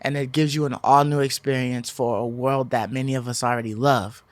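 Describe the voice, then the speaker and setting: A man's voice: mid-range voice, little pitch movement, a little fast. A man co-hosting a podcast, talking casually in a slangy, conversational way. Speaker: podcast co-host. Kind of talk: casual discussion of games and TV.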